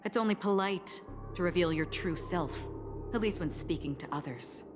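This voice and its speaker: A woman plays an unflappable, quietly dangerous woman in a video game. Her voice sits low and she speaks with measured, deadpan confidence, dry and pointed. Music plays clearly behind her. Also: lightly acted. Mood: cool, probing. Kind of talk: dramatic video game voice acting.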